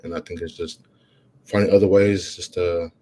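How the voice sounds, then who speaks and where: A young man, a college football player, answering interview questions in a halting way, with many "you know" fillers and restarts. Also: low voice, little pitch movement, mellow. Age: young adult.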